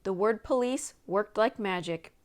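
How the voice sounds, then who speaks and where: A woman's voice: medium pitch, a little slow. Woman reading a storybook aloud. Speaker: audiobook reader. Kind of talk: scripted storybook narration.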